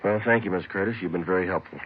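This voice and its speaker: An American actor playing a terse police sergeant in an old radio drama, narrating the case in a clipped, matter-of-fact way. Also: male voice, low voice, very fast, breathy edge. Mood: polite, probing. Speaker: narrator and lead detective. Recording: muffled old radio recording with music.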